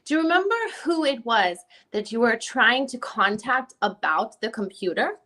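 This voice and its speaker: Sharp bright female voice, slightly high, actress as probing deposition lawyer, methodical questioning, dramatic transcript reading. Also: animated, moderate pace.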